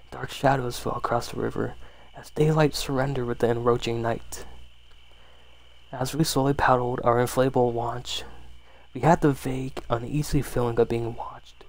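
A man softly reading a horror story in ASMR style, unhurried, with the odd stumble over words. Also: medium pitch, gravelly, poor audio.

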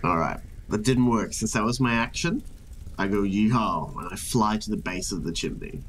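A man's voice: fairly low pitch, moderate pace, husky. A man playing a tabletop game, improvising his character's moves in casual chat.

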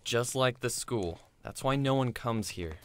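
A man playing a grumpy, pessimistic high school boy in an audio drama, dry and curt. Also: unhurried, close to an everyday voice. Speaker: voice actor.

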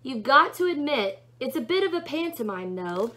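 Woman as a wry, high-voiced serpent demon. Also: big pitch swings, moderate pace, bright, forceful tone, heavily acted. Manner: sardonic, casual, musing. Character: wry, sarcastic male serpent demon, casually questioning. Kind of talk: storybook read-aloud character voice.

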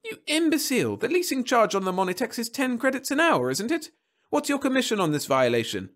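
A man voicing a shrill, quick-tempered wife who scolds and screeches in a science fiction story. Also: big pitch swings, a bit bright, full character voice. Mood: screeching, scornful.

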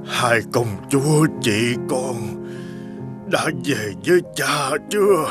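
A man playing a frail, dying old king in a cartoon fairy tale, weak, halting and regretful. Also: medium pitch, animated, music in the background.

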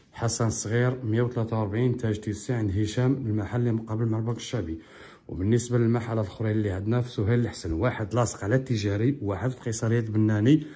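A man with a Moroccan Arabic accent, a shop owner filming a walkthrough of a sofa set he made, talking quickly like a salesman and often asking viewers if they see. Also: lowish voice, warm tone, a little hiss or hum. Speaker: upholstery shop owner showing his work. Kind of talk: walkthrough product showcase. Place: large indoor living room with tiled floor. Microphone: phone camera held while walking.

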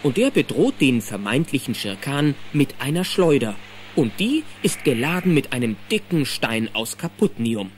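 A man's voice: medium pitch, very animated, moderate pace, a little hiss or hum. A man narrating a children's audio play as scripted storytelling, vivid and suspenseful. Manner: vivid, suspenseful present-tense telling. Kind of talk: dramatic scripted storytelling in German.

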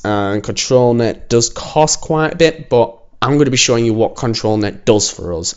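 A man with a croaky voice, casually commenting as he builds a city in a game, alone at home. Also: lowish voice, dull audio. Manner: chatty, self-assured, talks while building. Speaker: gaming channel host. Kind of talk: casual let's-play commentary.

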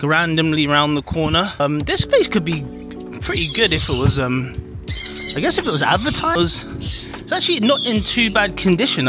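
A man in his forties casually narrating a travel vlog outdoors on a handheld camera, rambling with self-deprecating asides. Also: on the high side. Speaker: vlogger. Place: outdoor cafe grounds.

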